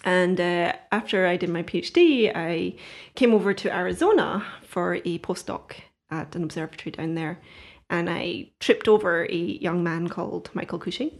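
A woman's voice: fairly low pitch, moderate pace, creaky. A Scottish woman, a guest astronomer, chatting casually in an interview with wry asides. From Scotland.

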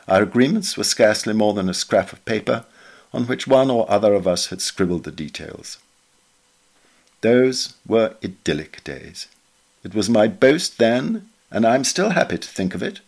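A man reading a written memoir aloud as a podcast narrator, in a measured, articulate way with expressive emphasis. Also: on the low side. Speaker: reader of a magazine article for a podcast.

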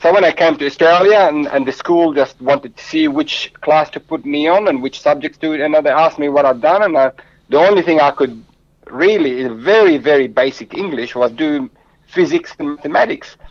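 A man's voice: a little high, expressive, moderate pace, no room sound, muffled recording. A man whose first language is Arabic and who grew up in Lebanon, answering interview questions in English with earnest, heartfelt storytelling and frequent restarts. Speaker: guest.

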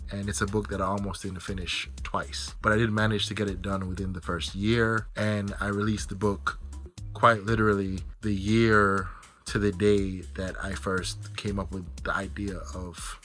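Man, lowish pitch, bright forceful tone, casual blunt answers, faint music underneath. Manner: conversational, frequent "like" and "right?", blunt and profane. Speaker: author guest. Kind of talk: casual answers to interview questions.

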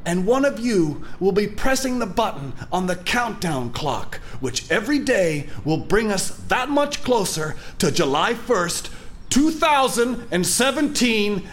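A man's voice: high voice, sing-song, a little slow, a bit bright, exaggerated voice. A man acting in a satirical audio drama as a flustered, sarcastic, self-important foundation director, stammering and wry. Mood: rousing, theatrical. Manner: stammering, wry, theatrical in speeches. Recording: faint vehicle noise behind. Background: moving car ambience.

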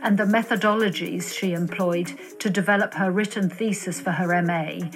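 A woman's voice: fairly low pitch, steady tone, normal speed, poor audio. Woman narrating over faint music. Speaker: interviewer and narrator. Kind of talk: scripted narration and interview questions.

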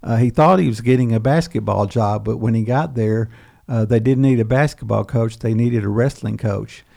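An older history professor, interviewed as a guest, telling a story unhurriedly with many pauses and fillers. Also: male voice, medium pitch, creaky, a little mellow.

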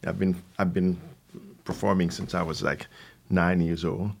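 Low-voiced older Haitian man, halting delivery. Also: level tone, vocal fry, a little mellow. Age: 60s. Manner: halting, with repeated words and asides. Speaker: theater company founder, guest. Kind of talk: conversational interview answers.

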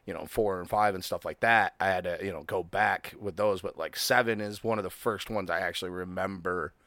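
A man's voice: low-pitched, fairly even intonation, fairly quick, sharp tone, no room sound. A man in his thirties hosting a podcast, chatting casually, swearing freely and laughing often. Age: 30s. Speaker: podcast host. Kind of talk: casual chat.

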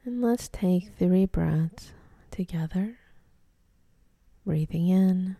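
A woman leading a guided meditation, speaking softly and breathily with gentle, unhurried instructions and pauses. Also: lowish voice, vocal fry, breathy edge, dry recording.

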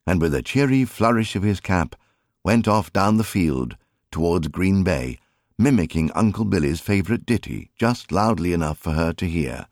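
A man narrating an audiobook as scripted literary fiction, in an expressive storytelling manner. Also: low-pitched, moderate pace, dry recording, studio quality.

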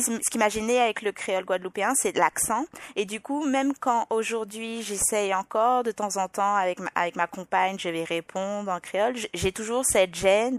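A woman's voice: mid-range voice, fairly bright tone, dry recording. A young adult woman with a Parisian French accent, speaking thoughtfully as a podcast guest, with frequent restarts and hesitations. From Île-de-France, France. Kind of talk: reflective personal interview.

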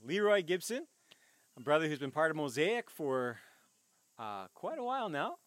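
A man's voice: fairly high pitch, sing-song, normal speed, fairly bright tone, dry recording. A man who is a pastor, welcoming listeners, introducing a guest preacher and praying. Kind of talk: church welcome and prayer.

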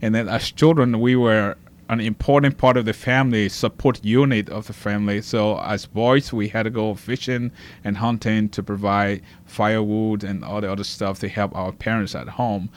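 A man's voice: fairly low pitch, fairly even intonation, normal speed. A man from Liberia in his forties, a guest in a studio, earnestly telling his life story with a strong faith focus.

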